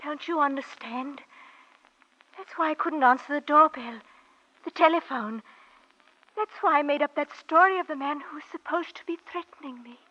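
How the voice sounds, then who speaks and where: A frightened, fragile young radio heroine. The voice is very high and piercing, full of melodramatic distress. The vintage broadcast is muffled. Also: female voice, normal speed, pushed and bright. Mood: distressed, pleading. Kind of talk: 1940s radio melodrama acting.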